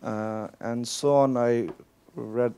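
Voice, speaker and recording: A man's voice: medium pitch, fairly even intonation, slow pace, mellow, clear, resonant tone. A man from Pakistan in the audience, asking a long, rambling question while searching for words.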